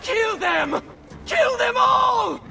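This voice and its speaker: Spoiled italian rich boy villain, really high voice, sneering, drags words out slowly. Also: expressive, creaky, pushed and bright, raised voice, far from the mic, soft background music, heavily acted. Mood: enraged. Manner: sneering, drawn-out, theatrical. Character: spoiled, vindictive young Florentine noble villain, taunting. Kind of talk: video game villain acting.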